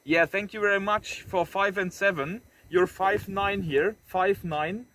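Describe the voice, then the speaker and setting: Man, high-pitched, casual radio operator, repeated clipped phrases, distant microphone, noisy windy outdoor recording. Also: fairly even intonation, measured pace. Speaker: amateur radio operator presenting his antenna. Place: outdoors on a windy farm road. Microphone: camera a few steps away. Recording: distant mic with wind and outdoor noise.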